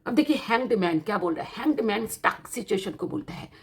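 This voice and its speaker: A woman's voice: medium pitch, expressive, fast. Indian woman reading tarot, teacherly and encouraging. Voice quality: voice slightly worn from years of teaching. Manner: repeats and rephrases points, teacherly, encouraging. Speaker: tarot card reader and host. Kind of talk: informal explanatory tarot reading addressed to viewers.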